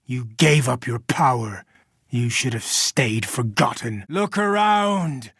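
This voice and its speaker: An actor playing a megalomaniac mutated scientist villain in a video game, menacing, theatrical and contemptuous. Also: male voice, mid-range voice, expressive, slow, fairly bright tone, breathy edge, no room sound, studio quality, full character voice. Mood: cold menace. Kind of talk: dramatic video game voice acting.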